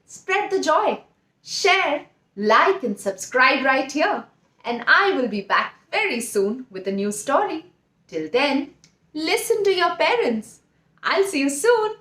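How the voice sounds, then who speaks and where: A woman's voice: high-pitched, big pitch swings, slow, a bit bright, reverberant. A woman telling a children's story as its host, speaking straight to the kids and leaning on repeated words for emphasis. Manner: addresses children directly, emphatic word repetition.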